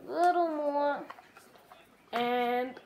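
A woman hosting a homemade puppet cooking show at a kitchen table, talking in a hesitant, halting way with many ums. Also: high-pitched, a little mellow, a little hiss or hum. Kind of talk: improvised puppet comedy.

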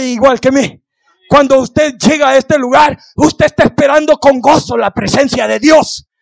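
A middle-aged man preaching an impassioned sermon into a handheld microphone, exhorting and repeating phrases for emphasis in a strained, gravelly voice. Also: extremely high pitch, no room sound. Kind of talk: impassioned Pentecostal-style sermon. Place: indoor church hall, on the platform. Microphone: handheld microphone held close.

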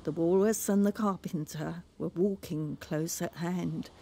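A woman reading a children's poem aloud in a theatrical, measured storytelling manner. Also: lowish voice, gravelly, mellow, slight background noise. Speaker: poem reader. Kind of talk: expressive children's poetry recital.

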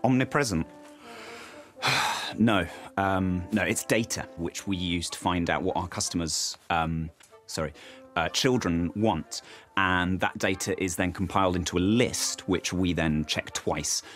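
An actor playing an earnest, flustered Christmas elf in a commercial, polite and corporate. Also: male voice, sits low, a little slow, a bit bright, faint music behind. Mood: mildly flustered. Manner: polite, corporate, self-correcting.

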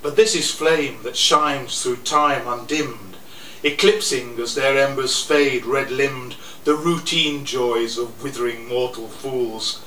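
Older guy reading his poems out slow, bright voice, sounds roomy and kinda far from the mic. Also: mid-range voice, steady tone. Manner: slow, theatrical recitation with chuckles between poems. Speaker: poet reading his own work. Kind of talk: live poetry reading with asides. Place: small cafe room with an audience. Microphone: stand mic a little off to the side. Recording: roomy, distant camera audio with faint noise.

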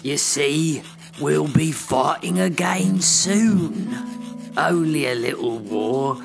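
A man voicing a brooding, uneasy soldier in an audio play, solemn and poetic, under loud music. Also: on the high side, lively intonation, slow, gravelly, heavily acted. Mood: brooding, ominous. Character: brooding, uneasy soldiers pondering war, gods and kings.

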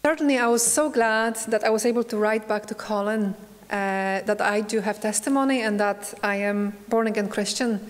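A woman's voice: mid-range voice, moderate pace. A middle-aged woman from Poland with a Polish accent, giving an earnest personal testimony at a church pulpit, with many fillers and restarts. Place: church hall at a wooden pulpit. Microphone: pulpit microphone. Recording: long hall reverb from a church.

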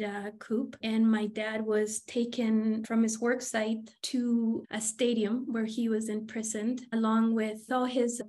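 An older woman, a guest on a video-call interview, telling her life story in a reflective, measured way. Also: a little high, darkish tone. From Chile. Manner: reflective, measured storytelling with frequent repeated words. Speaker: guest, union vice president. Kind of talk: conversational interview. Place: home room, video call.

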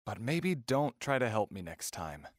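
A man's voice: lowish voice, sing-song, unhurried, no echo, studio quality. A man playing a rebellious, earnest young merman prince in an audio drama, defensive and pleading. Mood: apologetic.

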